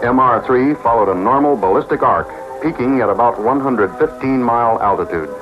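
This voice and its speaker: An American man reading formal, measured scripted narration for a vintage educational documentary. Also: medium pitch. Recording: dull vintage film soundtrack with music. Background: music.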